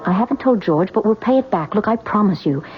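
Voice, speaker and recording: A woman's voice: mid-range voice, animated, fairly quick, soft background music, natural voice. An actress playing an earnest, emotional young wife and new mother in an old-time radio drama. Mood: anxious. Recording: dull old radio recording.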